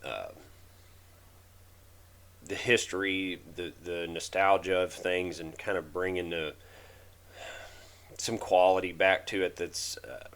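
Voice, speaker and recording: A deep-voiced man in his thirties talks over his own workshop footage. His voice is rough and hoarse from a cold, and he stumbles, restarts and fills pauses as he explains his work. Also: fairly even intonation, fairly bright tone. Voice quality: raspy. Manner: halting, many fillers and restarts. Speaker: DIY channel host. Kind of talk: casual voice-over narration of a restoration video.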